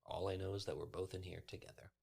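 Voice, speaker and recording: A man playing a calm, aloof prisoner in a tabletop fantasy game, nonchalant and detached. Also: low-pitched, quick pace, gravelly, breathy edge, full character voice. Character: calm, aloof human prisoner absorbed in a book.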